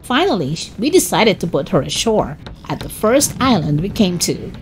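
A woman reading a children's chapter book aloud as narrator, expressive, dramatic and deliberate. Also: fairly low pitch, a little hiss or hum. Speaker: children's story reader. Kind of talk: expressive scripted storybook narration.

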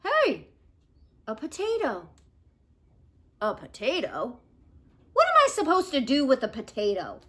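Woman acting an excitable high-pitched little girl. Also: unhurried, pauses often, exaggerated voice. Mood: bored, whiny. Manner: emphatic, exclaiming.

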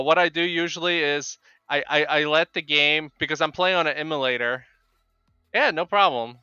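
A middle-aged man with a Polish accent chats casually with viewers while gaming, in a halting way that often repeats words. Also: a little high, sharp tone, smooth, dry recording. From Poland. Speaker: game streamer. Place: small home room with bookshelves. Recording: dull, lo-fi microphone sound.

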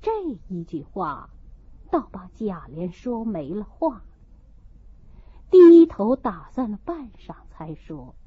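A woman's voice: mid-range voice, sing-song, slow, dark tone, slightly rough, no room sound, highs cut off. A woman narrating a classic novel as an audiobook, reading expressively from the text.

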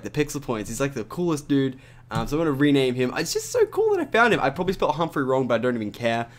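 Young Australian guy commentating a game, raspy morning voice, rambling and chatty, faint guitar music behind. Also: medium pitch. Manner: chatty, rambling with many "like" and "um" fillers. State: raspy from just waking up. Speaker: gaming YouTuber. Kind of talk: casual gameplay commentary.

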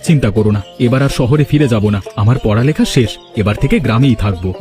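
A man's voice: mid-range voice, quick pace, warm tone, lightly acted. A man voicing a devoted, protective young son in a fairy-tale cartoon, questioning eagerly and offering reassurance. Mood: reassuring. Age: young adult. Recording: music behind the voice.

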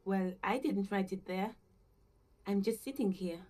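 Mellow, reserved actress as a woman gardener. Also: mid-range voice, measured pace, lightly acted. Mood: reserved, hesitant. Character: reserved working-class female gardener, uneasy, politely hesitant. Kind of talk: video game dialogue acting.

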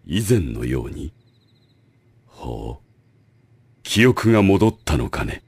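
A man playing a calm, scheming school director, measured and formal, in an anime audio drama. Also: rumbling low, slightly rough, no echo, full character voice. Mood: calm, calculating. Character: calm, calculating male school director with secret plans.

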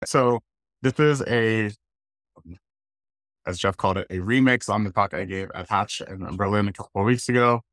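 Low-voiced American man presenting casually. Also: moderate pace, long pauses, fairly bright tone, no room sound. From Washington, D.C., United States. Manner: casual, conversational. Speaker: guest speaker, design leader. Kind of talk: informal online presentation. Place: indoors, plain room on a video call.

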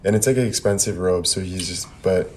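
Young adult man, livestream host, low easy voice, laid-back and slangy, noisy phone audio. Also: fairly quick, darkish tone. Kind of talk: casual livestream chat. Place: indoor room by a window. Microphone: phone at arm's length. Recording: phone livestream with background noise.

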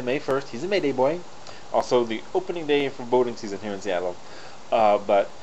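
Young man vlogging over noticeable hiss. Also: medium pitch. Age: young adult. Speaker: video blogger. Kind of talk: casual personal vlog chat. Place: small indoor room at home. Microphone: built-in webcam mic at close range. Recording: noisy lo-fi webcam audio.

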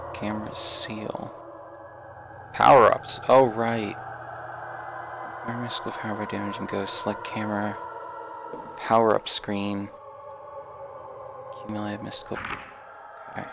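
A man giving casual, nervous, hushed commentary as he plays through a horror game. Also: on the low side, monotone, a little slow, long pauses. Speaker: let's player. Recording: noisy home recording, clear background noise. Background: horror game ambience.

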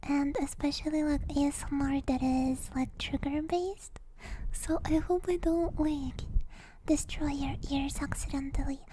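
A woman streaming ASMR, chatting to viewers in a soft, breathy, gentle whisper close to the microphone, with a playful manner. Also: sits high, fairly even intonation, a little slow, slight background noise, poor audio. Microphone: close microphone, Blue Yeti.

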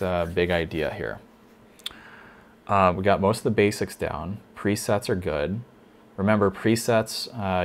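A man teaching a lighting console in a casual tutorial, thinking aloud with fillers, a little hoarse. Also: low-pitched, a little hiss or hum. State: slightly hoarse, losing his voice. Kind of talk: casual screen-recorded tutorial.

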